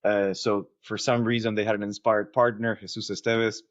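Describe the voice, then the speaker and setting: Low-voiced man, slightly muffled audio. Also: little pitch movement, moderate pace. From Argentina. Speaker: company founder being interviewed. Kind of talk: conversational interview answers.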